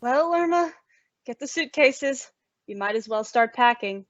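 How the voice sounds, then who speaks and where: A woman's voice: a little high, lively intonation, measured pace. A woman playing a sensible, often exasperated young roommate in an old-time radio comedy, with emphatic theatrical delivery. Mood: frantic. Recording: dull lo-fi audio.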